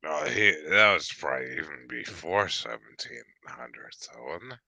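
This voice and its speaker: A man in his fifties rambles through a casual chat, stumbling over restarts and fillers, then bursting out now and then. His voice is deep yet bright and crisp, and his talk comes slowly. The sound is dry and close. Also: expressive, dry recording.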